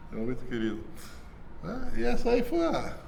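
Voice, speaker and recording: An older man reminisces in a casual interview. He rambles in a folksy way, tags his sentences with "né?" and chuckles softly. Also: high voice, vocal fry, slight background noise. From southern Brazil. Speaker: company founder being interviewed.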